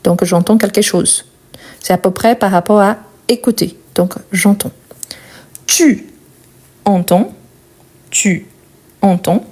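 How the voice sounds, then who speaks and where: A woman with a non-native French accent leads a live lesson on verb conjugation. Her voice is warm and mellow at a middling pitch. She works slowly, repeating each form twice with small fillers and quick asides. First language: not French. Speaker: French teacher.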